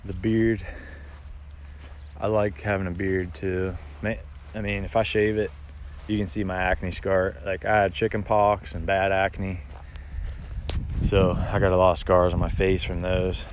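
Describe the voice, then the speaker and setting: A man's voice: deep voice, level tone, measured pace, pauses often. An American man in his thirties chatting casually on a livestream, laid-back and rambling, talking into a phone held at arm's length outdoors. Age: 30s. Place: outdoors in open rural countryside. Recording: outdoor phone recording with ambient noise.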